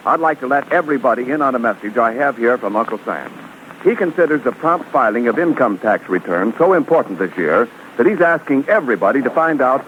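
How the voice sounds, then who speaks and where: A man's voice: medium pitch, slight background noise. A man reading a folksy, earnest 1940s radio announcement. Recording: muffled 1940s radio broadcast.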